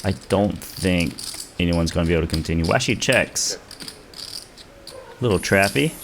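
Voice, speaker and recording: Low-voiced poker commentator, measured. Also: male voice, background noise. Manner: measured, analytical hand reading. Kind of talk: play-by-play commentary.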